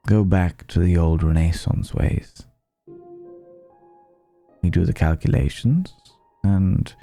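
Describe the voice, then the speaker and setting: A man playing a suave, ancient vampire club owner in an intimate ASMR roleplay, speaking in a genteel, quietly menacing way and savouring his pauses. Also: low voice, vocal fry, exaggerated voice. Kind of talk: ASMR roleplay, intimate second-person acting.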